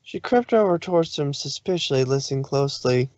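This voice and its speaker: A man's voice: mid-range voice, lively intonation, brisk, a little mellow, no room sound, highs cut off. A man narrating a written story as a reader of fan fiction, in a dramatic style.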